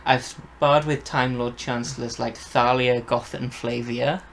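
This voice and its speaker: Creaky-voiced man chatting enthusiastically. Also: medium pitch, steady tone, measured pace, fairly bright tone, a little hiss or hum. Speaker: review show host. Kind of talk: casual fan review chat, reciting lyrics and commenting.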